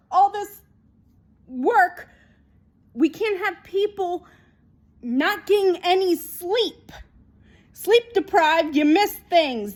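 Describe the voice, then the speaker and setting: High-pitched woman talking very slowly. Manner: emphatic, repetitive, halting delivery. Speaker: video commentator. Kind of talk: unscripted opinion monologue.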